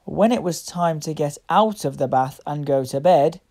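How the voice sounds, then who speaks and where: A woman reading a picture book aloud to young children, with deliberate, expressive phrasing. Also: sits low. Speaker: children's story reader.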